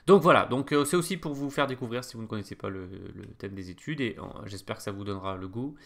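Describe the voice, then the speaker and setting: Low gravelly-voiced man teaching chess. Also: fast talker. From France. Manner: hesitant, lots of fillers and restarts. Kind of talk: casual screen-share lesson.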